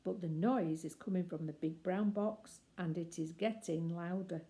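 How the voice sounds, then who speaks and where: A woman storyteller reads a picture book aloud. Her voice sits a little low and has a relaxed, mellow tone. She phrases deliberately and expressively, pausing for emphasis. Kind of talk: expressive children's story reading.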